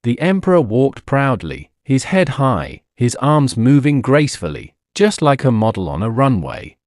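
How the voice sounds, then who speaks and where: A man narrating a scripted story for English learners in a deliberate, clearly phrased, expressive storytelling manner. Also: mid-range voice, no echo, clean studio sound. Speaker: audiobook narrator.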